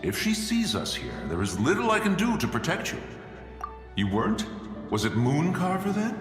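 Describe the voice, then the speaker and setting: A fussy genteel immortal gent in a game, courtly, swoops all over, big echo. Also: male voice, a little high, huge pitch swings, normal speed, faint music behind. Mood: alarmed. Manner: courtly, effusive, flowery speech. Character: genteel, fussy male immortal adeptus with a love of gardening. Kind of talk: scripted game voice acting.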